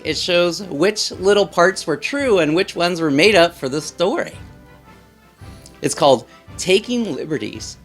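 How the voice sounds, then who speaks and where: A man's voice: fairly high pitch, a bit bright. A man hosting a children's read-aloud, reading in a playful, theatrical way and putting questions to young listeners.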